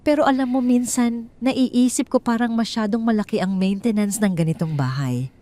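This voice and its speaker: Scheming glamorous mistress acted by a woman, audio drama, sugary, falsely friendly, prying, soft timbre. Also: on the high side, normal speed, voice pushed up, lightly acted. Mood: casually probing. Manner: sugary, casually probing.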